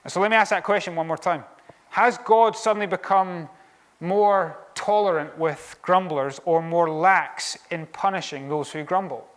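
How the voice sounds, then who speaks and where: A Scottish preacher with a fairly high voice delivers a sermon. His delivery is animated and conversational, and he slips in wry asides. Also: normal speed. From Scotland.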